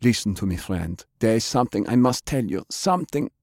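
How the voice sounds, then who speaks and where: A male narrator plays an urgent, loyal comrade in an audiobook drama. He is insistent and imploring, his pitch pushed up a little, in a dry, close recording. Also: lively intonation, brisk, vocal fry, a little mellow, dry recording.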